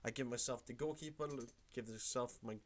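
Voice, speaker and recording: A man's voice: medium pitch, fairly quick, a little hiss or hum. A young man with a cold, rambling through a casual tutorial vlog from his room at home. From Wales. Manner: rambling, repeats himself. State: has a cold. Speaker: YouTuber.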